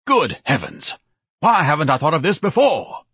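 A man's voice: medium pitch, sing-song, a little fast, a bit bright, husky, no room sound, clean studio sound. A man voicing a pompous, bombastic scholar with a solemn, orator-like way of talking and florid diction, in a storybook narration. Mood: bellowing, excited. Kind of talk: audiobook character acting.

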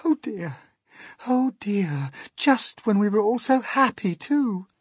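A man's voice: sits high, sing-song, slow pace, slightly rough, no room sound, studio quality, voice pushed up, exaggerated voice. A man voicing a fretful, tearful female ladybird in a storybook, lamenting as she speaks. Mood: despairing.

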